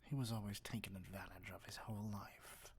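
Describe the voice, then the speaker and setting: A man playing an arrogant, dominant evil sorcerer king in an ASMR roleplay, speaking in a measured, condescending, breathy near-whisper. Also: on the low side, steady tone. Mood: brooding, reflective.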